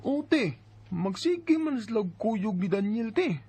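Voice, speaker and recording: A teenage schoolgirl in a radio drama, deferential and frank. The voice is fairly high and very lively in pitch, with a soft, mellow huskiness. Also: a little slow, breathy edge, heavily acted. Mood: frank, curious. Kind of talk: Bisaya radio drama acting.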